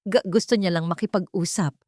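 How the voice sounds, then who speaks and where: A woman's voice: lowish voice, fairly quick, a little mellow, breathy edge, dry recording, studio quality. A woman voicing an anxious, guilty younger sister in an audiobook family drama, worried and over-eager. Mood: nervous, evasive. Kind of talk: audiobook character dialogue.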